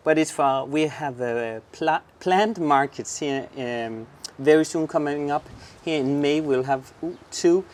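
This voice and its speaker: A man's voice: fairly high pitch, measured pace, hardly any pauses. A man from southern Denmark with a Danish accent, hosting a casual garden tour outdoors and exclaiming eagerly over the plants. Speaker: garden show host.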